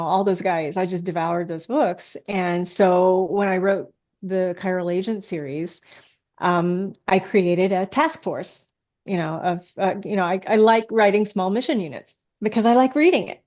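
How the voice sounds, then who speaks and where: A woman's voice: lowish voice, a little slow, darkish tone. Woman chatting in slightly dull, lo-fi audio. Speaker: guest author. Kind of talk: casual interview chat.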